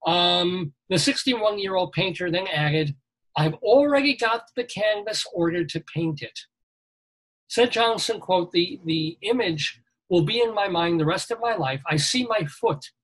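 A man's voice: sits high, lively intonation, unhurried, a bit bright, poor audio. A middle-aged man hosting a weekly radio show, reading odd news items and cracking jokes. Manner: jokey, reads news items with quips. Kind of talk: comedic news chat.

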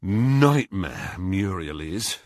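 A man's voice: low voice, big pitch swings, very slow, no room sound, studio quality, full character voice. A man voicing a blunt, good-natured teenage boy in an audiobook, grumbling in a casual, offhand way.

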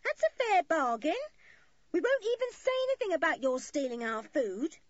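A woman voicing an angry, bossy scheming woman crook from a children's storybook, in a sharp, commanding manner. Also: medium pitch, very animated, normal speed, no room sound, muffled recording. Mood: bargaining, insistent.

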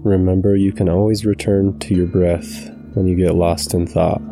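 Low, even-toned male meditation guide over music. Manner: calm, measured, soothing delivery. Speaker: meditation guide, channel host. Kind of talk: scripted guided meditation narration.

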